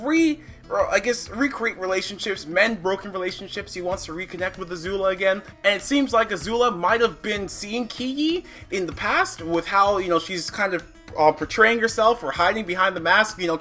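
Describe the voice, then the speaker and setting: A bright, clear-cut voice with a medium pitch gives a casual review over faint background music. The delivery is conversational and opinionated, thinking aloud with plenty of asides, and the intonation moves around in a lively way. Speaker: comic book reviewer.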